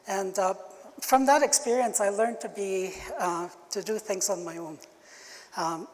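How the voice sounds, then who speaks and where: An older woman who grew up speaking Ojibwe, giving a keynote talk at a conference in a slow, halting way with many fillers. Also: medium pitch, a little mellow. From Canada. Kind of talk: keynote address. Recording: long hall reverb.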